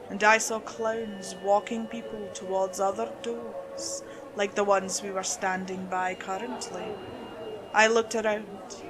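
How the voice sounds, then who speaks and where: A woman's voice: medium pitch, poor audio. A woman reading a scripted first-person creepypasta story as narrator. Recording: music behind the voice.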